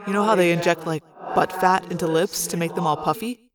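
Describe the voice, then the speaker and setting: A woman's voice: fairly low pitch, steady tone, a little fast, loud music behind the voice, voice pushed down, natural voice. A woman voicing a practical teenage boy leader in an audiobook, with a curt, dry, businesslike manner. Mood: dry muttering. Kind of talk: audiobook character voice.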